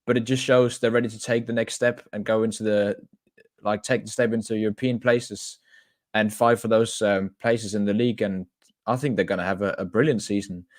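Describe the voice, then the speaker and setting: A low-voiced man chats as a podcast co-host and keeps pausing on ums and restarts. The recording sounds dry and close. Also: fairly even intonation, dry recording. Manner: hesitant, many ums and restarts. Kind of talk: casual chat.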